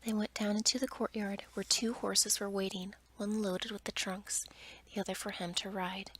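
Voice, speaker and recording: Female ASMR storyteller, soft breathy whisper for bedtime reading, noisy amateur recording. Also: mid-range voice, flat delivery, normal speed.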